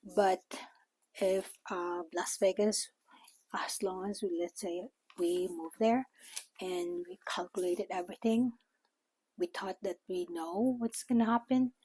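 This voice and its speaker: An older woman with a Filipino accent, native in Tagalog, speaks slowly in an informal vlog style. Her voice is mellow and somewhat husky. She explains things in a halting way, recorded close and dry. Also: mid-range voice, steady tone, no echo, low-quality recording. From Philippines. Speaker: vlogger. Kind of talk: informal talk to camera.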